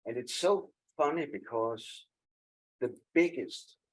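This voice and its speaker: A man on a panel talks casually, stopping and restarting as he goes. His voice sits at a middle pitch and has a husky edge. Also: expressive, slow pace, low-quality recording. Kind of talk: panel discussion.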